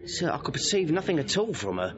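Casting: cheeky punning servant, highish animated voice, money-grubbing clown of classical comedy. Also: male voice, fast talker, lo-fi sound, a crowd in the background. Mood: mock grievance. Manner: impudent, theatrical. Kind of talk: classical Shakespearean comic acting.

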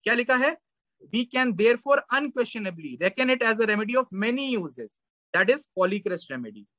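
A man in his thirties giving an online lecture, explaining slides and slowly reading English passages aloud. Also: high voice, fairly bright tone, no room sound. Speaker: homeopathy lecturer. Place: indoor room, webcam lecture. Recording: dull, lo-fi sound.

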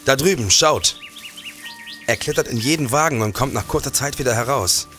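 An actor playing a watchful, cautious gentleman policeman in a period audio drama. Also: medium pitch, normal speed, soft background music, close to an everyday voice. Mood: hushed excitement.

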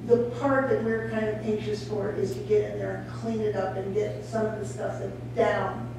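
A woman's voice: mid-range voice, moderate pace, creaky, far from the mic. A woman speaking at a podium in a public board meeting, plain and practical. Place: large council chamber, indoors. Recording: echoey council chamber mic with steady hum.

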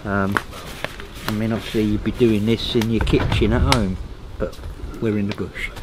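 A man's voice: lowish voice, little pitch movement, normal speed, background noise. A man explaining how he bakes bread over a campfire, easygoing and friendly. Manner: easygoing, friendly, says mate. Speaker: guest cook. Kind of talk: casual explaining while cooking. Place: outdoors by a campfire in a forest.

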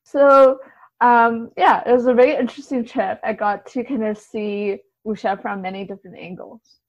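A woman's voice: fairly high pitch, fairly even intonation, no echo. Woman guest, dry slightly muffled sound. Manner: halting, filler-heavy, thinking aloud. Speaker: podcast guest, writer and translator. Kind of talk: casual interview answers, recounting experiences.

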